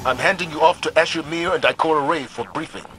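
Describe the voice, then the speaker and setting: A man's voice: on the high side, fast talker, a bit bright, close to an everyday voice. A man playing a disciplined military commander giving formal, authoritative mission briefings in a video game. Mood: stern, businesslike. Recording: gameplay noise underneath.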